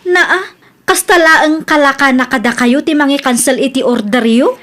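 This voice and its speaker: A woman's voice: high-pitched, moderate pace, a bit bright. A woman acting a hardworking young online seller and respectful but easily indignant daughter, with an Ilocano accent in radio drama style.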